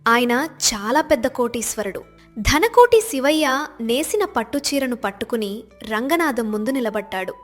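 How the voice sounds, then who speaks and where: Female storyteller, fairly high pitch, scripted narration, soft music underneath. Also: lively intonation, normal speed.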